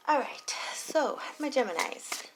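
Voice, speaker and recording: Woman doing a tarot reading, slow and gravelly, soft half-whispered late-night talk. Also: expressive, heavy background noise. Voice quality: low voice. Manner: soft and low, drifting into whispers. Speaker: tarot reader.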